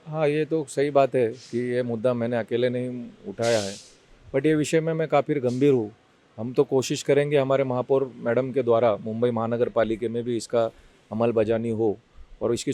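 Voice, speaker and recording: A man's voice: medium pitch, warm tone. A man speaking as a city councillor, giving serious, insistent answers in an interview.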